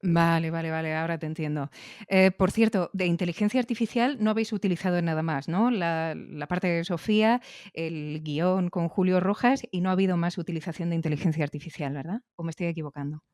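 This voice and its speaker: A man's voice: fairly high pitch, fairly quick, darkish tone, clean tone, no room sound, studio quality. A man with an accent from Spain interviewing conversationally on a podcast, wordy and effusive, repeating himself.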